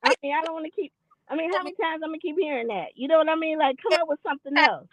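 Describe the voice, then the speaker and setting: A woman's voice: sits high, racing, heavy background noise. Woman in her forties on a thin, phone-like line. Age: 40s. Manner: candid, rambling, self-deprecating. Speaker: podcast guest. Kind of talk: casual chat.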